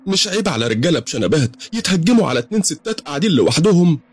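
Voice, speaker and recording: A man voicing a bold, sharp-tongued young woman in a storybook tale, defiant and indignant in Egyptian Arabic. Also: lowish voice, expressive, fast, husky, voice pushed up.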